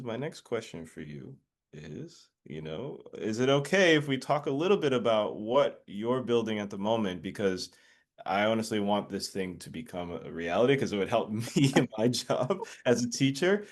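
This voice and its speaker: A man in his thirties, a podcast guest, talking in a relaxed way with lots of fillers and tag questions. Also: on the low side, normal speed. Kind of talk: casual conversation.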